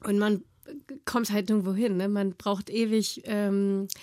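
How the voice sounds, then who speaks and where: A woman's voice: mid-range voice, level tone, unhurried, warm tone, no room sound, clean studio sound. A woman in her thirties from northern Germany, talking casually as a guest in an interview, full of fillers and frequent laughs. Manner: chatty, laughs often, many fillers.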